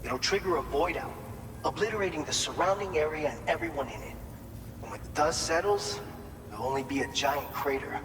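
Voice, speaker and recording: An actor playing an encouraging male mission support officer in a video game, explaining things clearly and supportively. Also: on the high side, brisk, background noise, natural voice. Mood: briefing, serious. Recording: long reverb, loud music behind.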